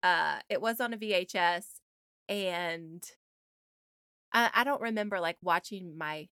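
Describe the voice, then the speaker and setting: A woman's voice: on the low side, measured pace, sharp tone, clear, resonant tone, no echo, studio quality. An American woman co-hosting a podcast in casual, conversational chat. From United States. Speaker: podcast co-host.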